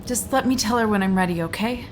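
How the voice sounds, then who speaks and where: A woman's voice: medium pitch, fast, background noise, natural voice. An actress playing a determined but torn working mother and wife in a musical audio drama. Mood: quiet, guarded. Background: musical accompaniment.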